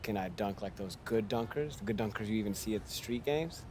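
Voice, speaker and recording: A man's voice: lowish voice, fairly quick, background noise, natural voice. A man acting a blunt, streetwise American basketball mentor in a game cutscene, firing rhetorical questions with emphasis. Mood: blunt, challenging. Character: seasoned basketball mentor, blunt, preaching hard realism to youngster.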